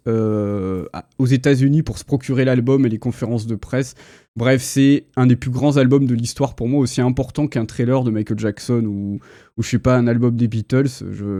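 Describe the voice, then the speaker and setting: Mellow-voiced Frenchman in his thirties. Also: mid-range voice, no room sound, clean studio sound. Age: 30s. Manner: enthusiastic, informal and slangy. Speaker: host. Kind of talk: casual pop culture chat on a livestream. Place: indoor livestream.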